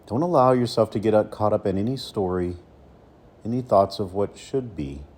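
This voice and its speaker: A man leading a guided meditation as a coach, speaking slowly and soothingly with deliberate pauses between phrases. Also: lowish voice, lively intonation, a little mellow, husky.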